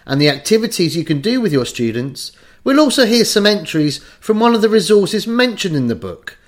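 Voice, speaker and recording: Male podcast host, scripted read, slightly high, animated intonation. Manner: clear, measured read-out delivery.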